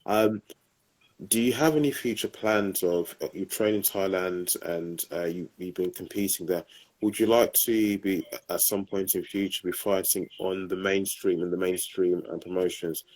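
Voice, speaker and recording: A man's voice: sits low, steady tone, normal speed, a little mellow, rough recording. A man hosting a podcast, asking questions with many hesitant ums and uhs. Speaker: podcast host. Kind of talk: interview questions.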